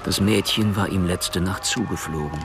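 A man's voice: low-pitched, moderate pace, gravelly, music in the background. A man narrating a scripted noir crime story as the narrator of a radio drama.

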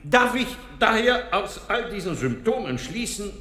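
An actor playing a genial, self-assured Viennese doctor who lectures courteously and theatrically with dry wit in a radio drama. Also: male voice, high-pitched, sing-song, a little slow, sharp tone, heavily acted. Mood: eager, confident. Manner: courteous, theatrical, emphatic lecturing with repeated phrases. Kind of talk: German radio drama acting. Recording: echoey lecture hall reverb.

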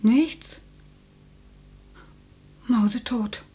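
An older woman's voice, husky and mellow, for a radio play. She is a homely grandmother who takes everything drily and matter-of-factly. Nothing seems to fluster her. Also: fairly high pitch, drawn-out words, full character voice. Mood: dryly surprised. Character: elderly housewife and grandmother, matter-of-fact, unflappable. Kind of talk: radio drama acting.

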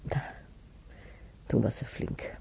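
A woman's voice: slow, mellow, natural voice. An actress playing a frail old woman in hospital in a radio drama, hesitant and murmuring, repeating herself. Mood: reminiscing. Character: frail old woman hospital patient, wandering memories, grateful, fretful. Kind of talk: naturalistic radio drama acting. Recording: noisy, muffled old radio recording.

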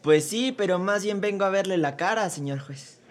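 A man's voice: high voice, moderate pace, natural voice. A man with a Mexican accent voicing a lazy, sarcastic court secretary in a comedy radio drama. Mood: cheeky. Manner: sarcastic and grumbling.

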